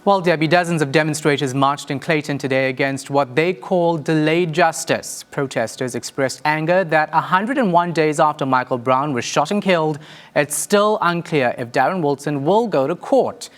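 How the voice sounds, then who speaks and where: A man's voice: on the high side, normal speed. A man working as a correspondent, narrating a scripted news report.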